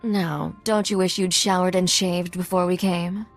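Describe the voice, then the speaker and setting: An actress playing a teasing, sarcastic smuggler's sidekick in a video game. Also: female voice, fairly low pitch, fairly even intonation, a little slow, faint music behind.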